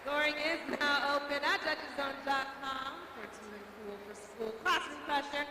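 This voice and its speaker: A woman's voice: sits high, steady tone, a little slow. A female arena co-host giving upbeat crowd announcements. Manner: upbeat promo delivery. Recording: echoey arena PA with crowd noise.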